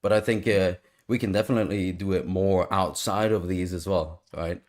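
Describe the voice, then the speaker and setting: Low-voiced male co-host, rambling casual chat on a video call. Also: fairly even intonation, moderate pace, a little mellow. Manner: rambling, many fillers.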